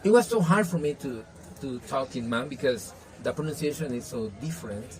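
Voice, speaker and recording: A man's voice: mid-range voice, expressive, brisk, crowd noise, low-quality recording. A man whose first language is Spanish, answering interview questions in an earnest, casual way, with many restarts and "you know".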